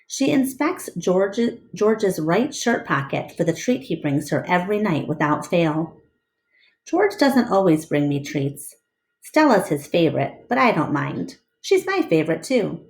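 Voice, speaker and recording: A woman teacher reading a storybook aloud to her class with expressive narration. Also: lowish voice, unhurried, low-quality recording.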